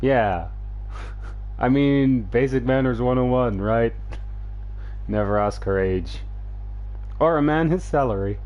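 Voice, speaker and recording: A man's voice: mid-range voice, slow pace, pauses often, a little hiss or hum. A man giving casual live commentary as he streams a story-driven video game. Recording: slightly dull, lo-fi microphone sound. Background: faint game music.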